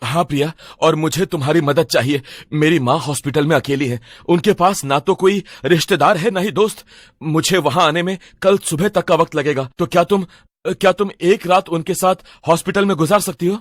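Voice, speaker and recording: A man voicing a worried young ex-boyfriend in an animated story, urgent, pleading and a little hesitant. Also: fairly high pitch, moderate pace, no echo, clean studio sound, lightly acted. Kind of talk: dramatic cartoon story voice acting.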